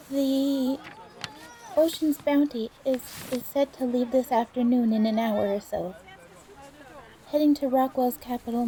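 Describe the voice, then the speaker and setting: Flustered young charterer, acted by a woman: soft-toned female voice, slow halting delivery, timid stammer, audio roleplay. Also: fairly high pitch, rough recording. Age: young adult.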